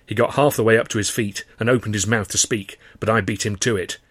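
A man with a fairly low voice narrates a first-person story with dramatic expression. He often delivers short, clipped phrases, and the recording is dry and close. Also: steady tone, fast talker, a bit bright, no room sound. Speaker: author reading his own novel.

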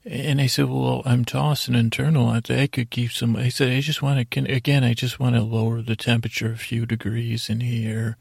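A man with a gravelly voice hosting a podcast, telling a rambling bedtime story in a halting, meandering way, often trailing off and restarting mid-phrase. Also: mid-range voice, little pitch movement, a little mellow, dry recording, clean studio sound. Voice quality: gravelly, creaky voice. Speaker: podcast host.

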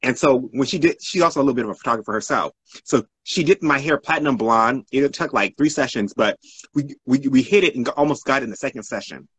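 Clear-voiced male guest, rambling and dry-sounding. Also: mid-range voice, quick pace, fairly bright tone, no echo. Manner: rambling, self-correcting. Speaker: interview guest, art model. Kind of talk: casual interview storytelling.

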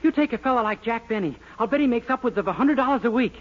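An actor playing an eager, naive young man in a 1940s radio comedy, boyish, earnest and excitable. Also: very high voice, fast. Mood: eager, boastful. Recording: dull, lo-fi 1940s radio recording.

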